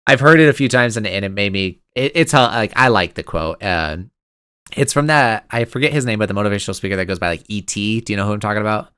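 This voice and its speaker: A man in his twenties co-hosting a podcast, chatting casually in an excitable, rambling way and laughing easily. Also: lowish voice, fast, smooth, no echo, clean studio sound. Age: 20s. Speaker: podcast co-host. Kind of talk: casual chat.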